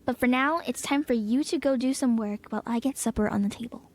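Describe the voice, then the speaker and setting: Casting: actress as caring young girl, high-pitched, motherly beyond her years, period audio drama. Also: a little fast, lightly acted. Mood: fond, reassuring. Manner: gentle, matter-of-fact, motherly. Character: wise, caring twelve-year-old girl, old soul.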